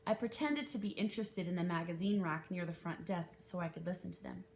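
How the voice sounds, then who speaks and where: A woman reading a children's novel aloud as an expressive narrator. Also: brisk. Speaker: read-aloud reader. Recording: noisy with low rumble.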